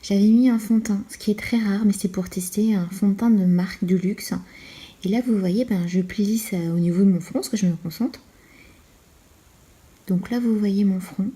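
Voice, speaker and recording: A woman's voice: medium pitch, steady tone, normal speed, warm tone. A woman in her thirties giving a casual, chatty product review as a beauty vlogger, confiding and self-deprecating. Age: 30s. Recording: roomy, echoey indoor sound.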